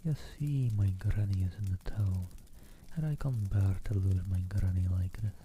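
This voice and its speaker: A man's voice: low voice, a little slow. Man reading as a stubborn earnest boy. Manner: quiet and pleading. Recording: tinny phone-like line, faint hiss.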